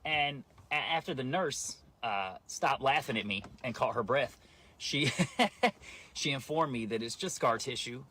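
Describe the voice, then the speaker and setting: A man's voice: mid-range voice, measured pace, bright, forceful tone, slight background noise. A man telling a casual personal story in a rambling, self-mocking way, full of fillers.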